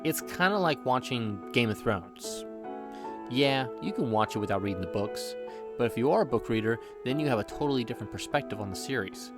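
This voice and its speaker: A man's voice: mid-range voice, lively intonation, brisk. A man narrating a scripted video game review with playful, joking asides. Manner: playful, jokey. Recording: background music under voice. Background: music.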